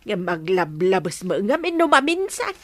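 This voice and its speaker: A woman's voice: mid-range voice, very animated, normal speed, fairly bright tone, no room sound. A woman playing a nagging, questioning village wife in an Ilocano comedy radio drama, with a Filipino accent.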